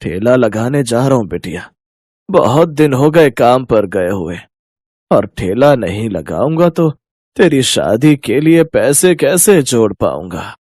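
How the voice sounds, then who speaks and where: A man voicing a sick, weary old father in an animated moral story, stubborn but loving, given to groaning. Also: medium pitch, measured pace, no echo, studio quality. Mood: weary, resigned.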